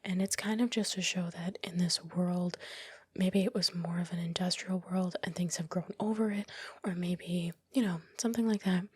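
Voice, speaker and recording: A woman speaks in a soft, breathy ASMR whisper, very close to the mic with no room sound. Her voice sits slightly low. She narrates casually and without hurry, pausing as she finds her words. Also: steady tone, no room sound. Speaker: ASMR creator narrating her time-lapse. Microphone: close microphone, dry voice-over.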